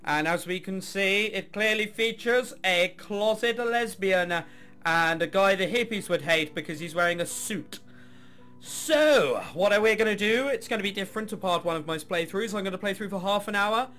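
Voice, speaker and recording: Male let's player, high-pitched and very animated, sarcastic, sweary, music underneath. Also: normal speed. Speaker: let's play host. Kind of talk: let's play commentary, reading game text aloud.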